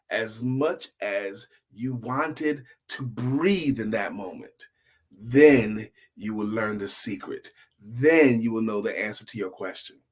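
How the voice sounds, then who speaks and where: A man voicing a stern, cryptic old village wise man in a story, with measured, grave, drawn-out phrases. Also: mid-range voice, breathy edge, pitched below the performer's own voice.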